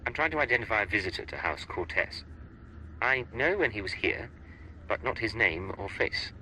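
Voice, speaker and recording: A man's voice: fairly low pitch, animated, moderate pace, pushed and bright, a little hiss or hum, rough recording. A man playing a cool, polite undercover agent posing as a mercenary in a video game.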